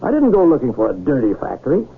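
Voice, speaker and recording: An actor playing a skeptical, folksy small-town mayor and pharmacist, plainspoken, in an old-time radio drama. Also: male voice, medium pitch, huge pitch swings, fast, creaky, slight background noise. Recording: muffled old radio broadcast recording.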